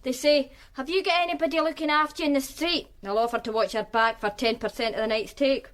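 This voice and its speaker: A woman's voice: high-pitched, steady tone, fast, a bit bright. A wry streetwise Glasgow woman. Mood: guarded. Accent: Glaswegian. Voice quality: dry, sardonic. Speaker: witness, flatmate of the victim. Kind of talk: radio drama acting.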